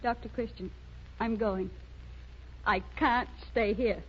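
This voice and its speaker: A woman's voice: on the high side, steady tone, slow, a little hiss or hum. An actress playing a spoiled, frightened young woman who pleads and makes excuses in 1930s radio melodrama style. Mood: anguished. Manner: breathless, pleading, self-justifying. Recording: muffled, lo-fi 1930s radio recording.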